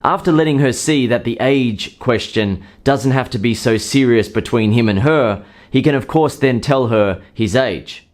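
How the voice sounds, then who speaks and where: A man calmly explaining dating advice in a scripted monologue, acting out short example lines now and then. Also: mid-range voice, moderate pace. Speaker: dating coach.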